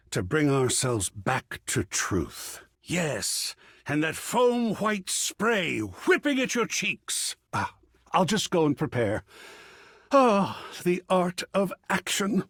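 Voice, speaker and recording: A man's voice: medium pitch, fairly bright tone, breathy edge, dry recording, studio quality, exaggerated voice. An actor voicing an effusive, grandiloquent art connoisseur in a video game, savoring his words with dramatic flair. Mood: pensive, moody, then effusive, intrigued. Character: effusive, theatrical art connoisseur, a living piece of artwork. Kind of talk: theatrical game character dialogue.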